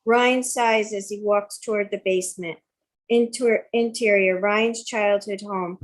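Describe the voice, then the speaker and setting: Older woman, unhurried slow pace, medium pitch, script narration, reading stage directions. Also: fairly even intonation. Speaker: reader of screen directions. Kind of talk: reading screen directions aloud.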